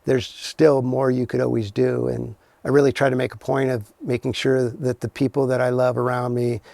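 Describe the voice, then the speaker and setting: A man's voice: mid-range voice, level tone, moderate pace, vocal fry, a little mellow, dry recording. A middle-aged man, a podcast guest, chatting casually in a plain-spoken, easygoing way. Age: 40s or older. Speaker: podcast guest, cannabis farmer. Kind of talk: casual chat.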